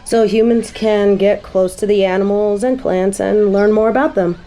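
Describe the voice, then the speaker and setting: A woman's voice: medium pitch, steady tone, moderate pace, dark tone, lightly acted, rough recording. A woman playing a prim, uptight, easily flustered angel little sister in an audio drama, speaking hesitantly. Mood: shy, reflective. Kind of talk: audio roleplay drama acting.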